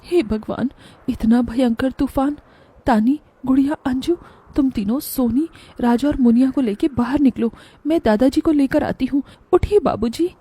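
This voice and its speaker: A woman's voice: high voice, normal speed, mellow, slightly rough. A woman voicing a poor, hardworking mother in a cartoon story, loving and earnest, with an emotional delivery. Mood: alarmed.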